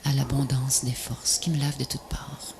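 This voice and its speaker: Creaky, breathy woman reciting poetry. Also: a bass voice, level tone. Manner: solemn, measured delivery in short phrases. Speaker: poet narrator of a video poem. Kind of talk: poetic recitation, scripted voice-over. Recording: noisy VHS transfer, ambient noise.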